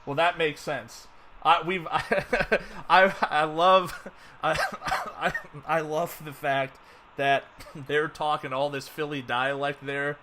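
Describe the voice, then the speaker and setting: A man's voice: fairly high pitch, fairly even intonation, slow pace, pushed and bright, slight background noise. A man hosting a casual fantasy football podcast, rambling with lots of fillers and restarts. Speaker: podcast host. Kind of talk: casual sports podcast chat.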